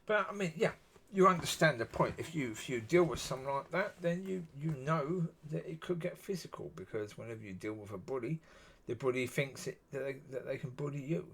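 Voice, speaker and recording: Man, husky and raspy, musing monologue, mid-range voice. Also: medium pitch, expressive, a little fast, hardly any pauses, fairly bright tone. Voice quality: husky, gravelly. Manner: musing, repeats yeah often. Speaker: solo video talker. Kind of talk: rambling solo monologue.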